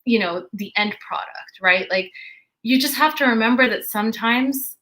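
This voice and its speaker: Woman, panelist, bright forceful tone, earnest, encouraging, fillers. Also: a little high, moderate pace. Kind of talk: panel discussion.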